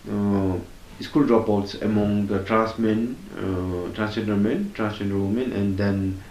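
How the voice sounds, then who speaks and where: Man from bhutan, advocate, hesitant with many fillers, low voice, roomy echo, faint hiss. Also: steady tone, measured pace, darkish tone. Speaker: community advocate. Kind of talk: spoken overview of issues.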